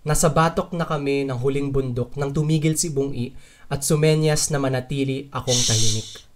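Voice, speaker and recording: A man's voice: mid-range voice, steady tone, moderate pace, background noise, lightly acted. Man acting a curious, wary traveler telling his story. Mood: narrating. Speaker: narrator and lead character of a dubbed short story. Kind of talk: dramatized first-person literary narration.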